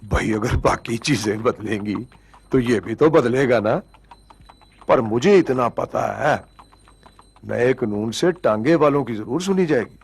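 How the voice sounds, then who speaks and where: An actor playing a cocky, hopeful horse-cart driver in a television drama, speaking colloquially with a Punjabi accent in a jaunty, sardonic way. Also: male voice, mid-range voice, lively intonation, a little slow, breathy edge. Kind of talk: colloquial television drama acting, musing aloud.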